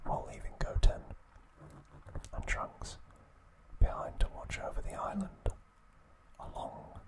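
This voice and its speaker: A man narrating trivia as an ASMR host in a breathy, unvoiced whisper, soft and deliberate.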